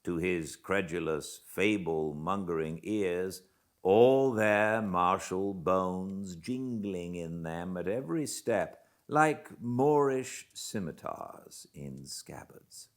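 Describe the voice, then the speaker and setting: A British man reading a classic novel aloud as an audiobook narrator, in a measured, theatrical manner with dramatic pauses. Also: low-pitched, animated. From Britain. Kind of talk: expressive literary audiobook narration.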